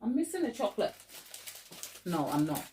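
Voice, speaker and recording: Casting: middle-aged woman from Ghana, live-stream baking host, chatty, warm-hearted laughter, talks straight to chat. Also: medium pitch, big pitch swings, normal speed, background noise. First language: Twi. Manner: chatty, laughs often, addresses viewers directly.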